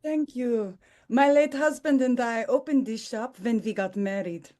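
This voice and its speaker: Slow, sweet old widow shopkeeper, played by a woman. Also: on the high side, dark tone, close to an everyday voice. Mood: proud, fond. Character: sweet, wholesome old Austrian widow shopkeeper in her seventies. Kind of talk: table read of a romcom script.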